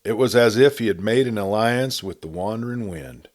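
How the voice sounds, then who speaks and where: A man with a fairly low voice narrates an old western tale. His delivery is scripted and dramatic, with measured, expressive storytelling. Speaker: audiobook narrator and host.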